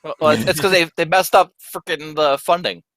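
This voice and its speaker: A man's voice: fairly high pitch, fairly even intonation, fairly quick, fairly bright tone. A young adult man chatting informally with friends, with the odd mild swear. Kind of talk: casual chat among friends.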